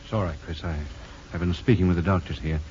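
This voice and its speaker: An actor playing a grave, concerned doctor and steadying family friend in 1950s American radio drama, restrained in manner. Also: male voice, sits low, steady tone, fast talker, a little mellow, close to an everyday voice. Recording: dull, faintly noisy old radio recording.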